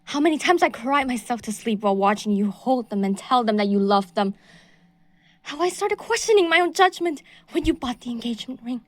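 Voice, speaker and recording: A woman voicing a delusional, possessive young woman stalker in an audio roleplay, moving between soft breathy whispers and shrill outbursts. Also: expressive, fairly quick, dry recording, lightly acted. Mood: obsessive, menacing.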